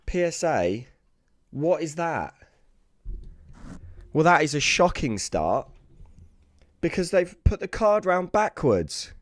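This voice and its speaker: A British man with a hoarse, raspy voice that sounds worn out, hosting a casual sports card unboxing video. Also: a little high, sing-song, slow pace, pauses often, dry recording. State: hoarse, lost voice from screaming the night before.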